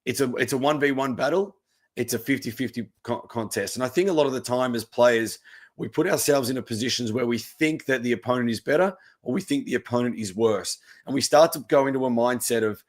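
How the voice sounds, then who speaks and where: A man, a tennis coach, giving a relaxed presentation with a croaky voice. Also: medium pitch, brisk. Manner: steady explaining, relaxed. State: hoarse.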